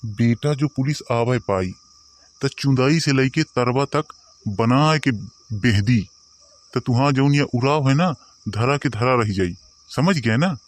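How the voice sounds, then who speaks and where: A man voicing a stern, scolding village family elder in a Bagheli cartoon, speaking gruffly with a Bagheli accent. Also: medium pitch, measured pace, noisy background, lightly acted. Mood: irritated, scolding. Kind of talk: dialect comedy cartoon voice acting.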